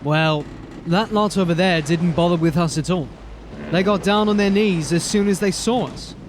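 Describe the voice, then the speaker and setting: Guy doing a watchman, chatty and spooked, higher voice, faint hiss behind. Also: moderate pace, lightly acted. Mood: uneasy. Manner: chatty, uneasy, colloquial. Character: chatty uneasy young male watchman. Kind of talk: audio drama acting.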